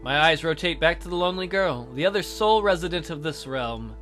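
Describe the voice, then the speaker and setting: A man hosting a let's play, reading a visual novel aloud in a theatrical, expressive way. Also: fairly high pitch, a little fast. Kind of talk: dramatic read-aloud of a visual novel, with casual asides. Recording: music behind the voice.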